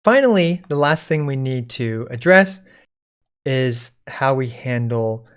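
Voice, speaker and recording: A man giving a casual software code-along, thinking aloud informally as he types. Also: medium pitch, lively intonation, slow, clear, resonant tone. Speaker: software tutorial instructor.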